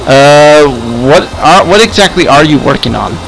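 Man acting boy adventurer, curious, polite, slightly high pitch, stammering delivery, game roleplay, faint music. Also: animated, vocal fry, fairly bright tone, low-quality recording. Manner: halting, stammering, repeats words. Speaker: host of a Minecraft roleplay series.

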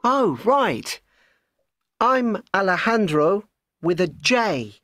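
A woman's voice: medium pitch, very animated, extremely slow, fairly bright tone, dry recording, clean studio sound, full character voice. A woman voicing a friendly sixteen-year-old boy from Spain in a scripted textbook dialogue, carefully spelling out letters. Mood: plain.

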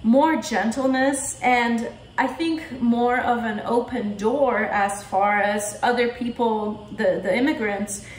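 A woman's voice: fairly high pitch, slow. A young woman from Poland, chatting casually to camera from an armchair in her living room and gesturing a lot. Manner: chatty, with lots of hand gestures. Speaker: vlogger. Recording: roomy echo in a living room.

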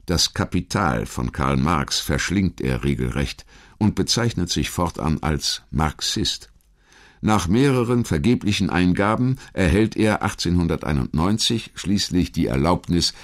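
A man reading scripted biographical narration in a measured, formal storytelling manner. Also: sits low, animated. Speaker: documentary narrator.

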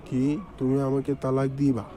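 A man's voice: mid-range voice, completely flat delivery, moderate pace, warm tone, a little hiss or hum, close to an everyday voice. A man playing a put-upon, stingy village husband in a comic cartoon, complaining with a Bangladeshi Bengali accent. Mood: exasperated, defiant. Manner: complaining, exasperated.

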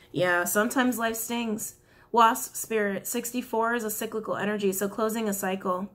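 A young woman with a raspy voice giving a casual tarot reading to camera from a small indoor room, chatty and giggly, with apologetic asides. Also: medium pitch. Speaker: tarot reader.

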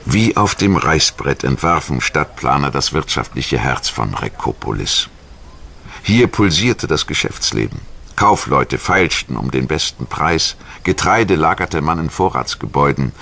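A man reading a scripted history documentary narration in a measured, articulate storytelling manner. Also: a bass voice, very animated, gravelly, fairly bright tone. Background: music.

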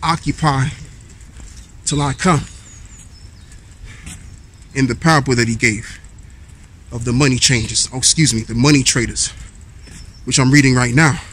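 A man in his thirties talks to a handheld camera as he strolls a park path. His preaching is easygoing and mid-pitched, broken up by fillers as he reads verses aloud. Faint outdoor noise sits behind him. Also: moderate pace, long pauses, a bit bright. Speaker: street preacher vlogger. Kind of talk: walking vlog, preaching and reading scripture. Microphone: handheld camera at arm's length while walking.

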